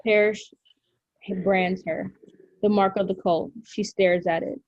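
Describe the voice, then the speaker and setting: A woman's voice: medium pitch, steady tone, slow, darkish tone, no echo, dull audio. A woman narrating the stage directions of a script at a table read.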